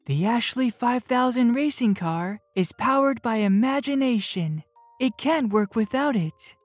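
A woman's voice: fairly high pitch, animated, a little slow, no room sound, faint music behind, pitched above the performer's own voice. A woman voicing a kindly, playful, inventive mother in a children's storybook. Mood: playful instruction.

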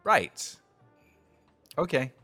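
A man's voice: extremely slow, pushed and bright, soft background music. An actor playing an awkward, evasive young man on a blind date in an audio drama comedy, hesitant, stammering and apologetic. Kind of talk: audio drama acting, romantic comedy dialogue.

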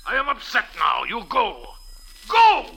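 A high-pitched, pompous prince. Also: male voice, wildly swooping pitch, measured pace, vocal fry, bright, forceful tone, faint music behind, heavily acted. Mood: indignant. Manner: indignant, melodramatic, stilted English. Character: pompous, threatening, lovesick prince, a big dope. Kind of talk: 1940s radio acting. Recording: old radio drama recording.

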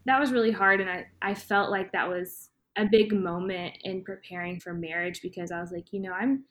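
Podcast guest: Tennessee woman, mid pitch, earnest and heartfelt delivery. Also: steady tone, moderate pace, fairly bright tone, a little hiss or hum. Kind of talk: personal conversation.

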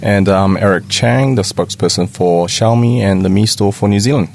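A man speaking as a company spokesperson on a podcast, in loose, halting phrasing. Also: fairly low pitch, gravelly, a little mellow, slight background noise. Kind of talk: casual interview talk.

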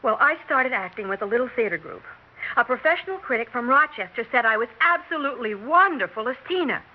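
A woman's voice: fairly high pitch, lively intonation, quick pace. A young woman playing a persistent, talkative aspiring actress, earnest and flustered, in 1960s radio drama style. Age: young adult. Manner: earnest, flustered, stammering plea. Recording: dull vintage radio recording with hiss.

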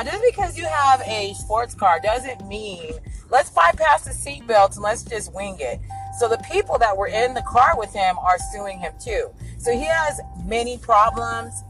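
A woman with a raspy voice hosting an online radio show, giving frank, chatty opinions on the news. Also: mid-range voice, a bit bright, low-quality recording. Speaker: internet radio host. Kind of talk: casual talk-radio commentary.

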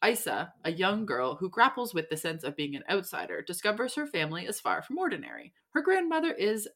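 A woman literary agent reading a letter aloud on a podcast in a measured, expressive way. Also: fairly low pitch.

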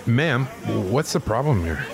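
A man playing a tough, foul-mouthed police officer in an audio drama, urgent, gruff and worried. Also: mid-range voice, very animated, unhurried, over music. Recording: noisy city street with busy crowd. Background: street ambience and crowd.

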